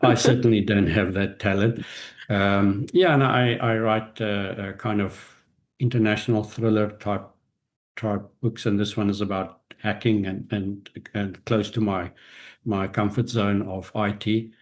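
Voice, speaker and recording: A South African man speaks in a low, fairly even voice with little rise and fall. He answers interview questions reflectively and often restarts his sentences. English is his second language after Afrikaans. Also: a little slow. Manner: reflective, frequent restarts and hesitations. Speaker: guest, author and business strategist.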